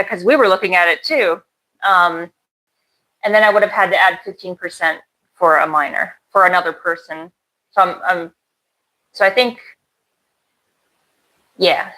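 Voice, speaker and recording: A woman's voice: fairly low pitch, sharp tone, rough recording. A woman in casual conversation, working through figures aloud and correcting herself. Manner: brief backchannels, thinks aloud. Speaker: interviewer.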